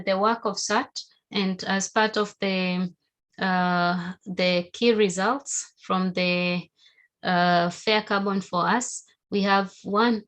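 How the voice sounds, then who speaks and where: A woman from Tanzania, with Haika as her first language, giving a steady, explanatory webinar presentation over a video call. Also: mid-range voice. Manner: steady, explanatory, with occasional fillers.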